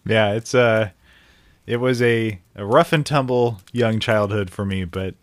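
A man co-hosting an improvised comedy podcast, talking in a hesitant, halting, deadpan way. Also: on the low side. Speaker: podcast co-host.

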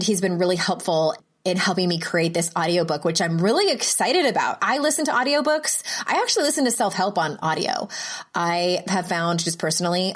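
A woman talks solo into a home podcast microphone, her voice sitting a bit low for a woman. She rambles in a friendly, self-deprecating way, laughing at herself as she goes. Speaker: podcast host. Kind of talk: casual solo podcast monologue.

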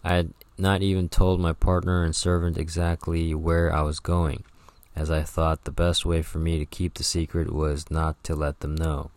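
A man with a very deep, low voice reads an old travel book aloud. He stumbles and rereads words, then breaks off for offhand, profane comments. The sound is dry and close, with no room echo. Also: monotone, moderate pace, a little mellow. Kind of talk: reading aloud with casual commentary.

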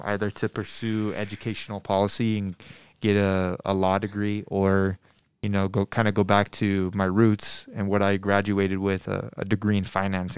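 A man in his twenties from Denver, a middle school math teacher, answering students' questions in a thoughtful, earnest way, pausing often with ums and restarting sentences. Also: fairly low pitch, clean tone, no room sound. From Denver, USA. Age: 20s. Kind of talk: casual interview answers to students.

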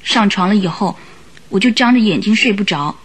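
A woman's voice: mid-range voice, expressive, fairly quick, slightly rough, rough recording. An actress playing an anxious young woman who recounts events earnestly in a radio drama. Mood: uneasy, recounting. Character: anxious young woman client recounting her sister's death. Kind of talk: Mandarin radio drama acting. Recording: radio drama with music behind.

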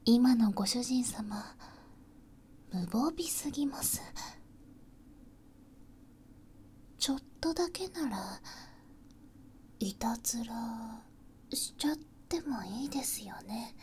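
A woman voicing a gentle, playfully teasing young maid in a Japanese ASMR audio drama, polite and breathy, often whispering close to the microphone. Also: on the high side, expressive, takes it slowly, long pauses, a little hiss or hum. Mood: playful, doting. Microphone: close binaural microphone.

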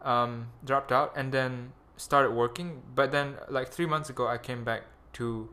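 Bright-voiced young man, Malaysian accent. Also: medium pitch, fairly even intonation, a little slow. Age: young adult. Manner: rambling, crude, self-mocking. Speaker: podcast host. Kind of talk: casual chat.

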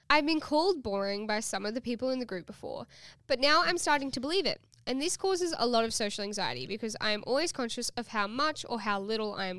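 A woman's voice: a little high, fairly bright tone, no room sound. Bubbly young woman, dry close sound. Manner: bubbly, giggly, teasing. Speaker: podcast host. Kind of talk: casual podcast chat and reading a listener letter.

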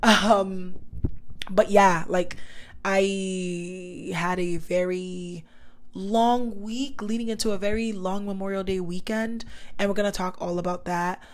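Chatty woman with a slightly raspy voice. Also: medium pitch, fairly even intonation, slow pace. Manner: chatty, slangy, talks straight to listeners. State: slightly hoarse, recovering voice. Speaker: podcast host. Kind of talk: casual solo podcast chat.

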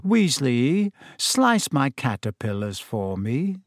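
A man's voice: medium pitch, big pitch swings, slow, no room sound, studio quality. A man voicing a smug, malicious schoolboy bully in an audiobook, with a drawling, mock-plaintive manner. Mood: gloating. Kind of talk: audiobook character voice.

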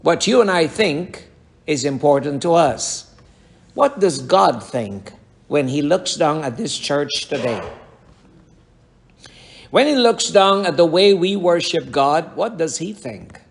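A middle-aged man preaching a sermon to his congregation, with a raspy voice and emphatic rhetorical questions. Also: on the high side, lively intonation, slow. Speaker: pastor.

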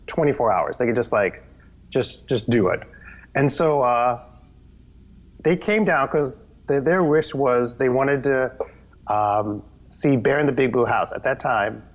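Brief: a man in a casual interview, mid-pitched, animated and rambly, on slightly dull audio. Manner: animated, rambling, with many restarts. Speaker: guest, children's TV performer.

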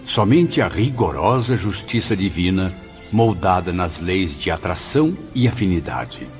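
An actor playing a solemn male spirit who narrates his memoirs in a radio drama, with expressive delivery. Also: deep voice, a little slow. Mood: solemn reminiscing. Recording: dull radio drama recording, faint music.

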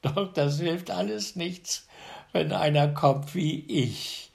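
A man's voice: a little high, slow pace, creaky, voice pushed up. A man voicing a small, boastful young tailor who brags brashly in a fairy tale reading. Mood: cocky.

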